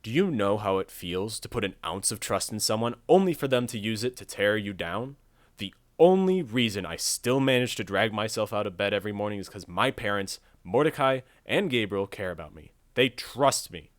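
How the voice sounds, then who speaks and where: Male actor, young man, sarcastic, wounded, mock-formal, lively intonation, audio drama. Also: on the low side, normal speed, lightly acted. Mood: bitter, hurt. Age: young adult. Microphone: close studio condenser with pop filter.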